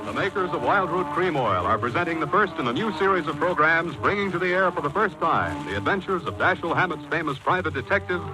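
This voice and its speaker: A man's voice: a little high, lively intonation, quick pace, few pauses, loud music behind the voice, low-quality recording. An American man reading sponsor copy as a 1940s radio announcer, formal and emphatic. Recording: 1940s radio broadcast recording.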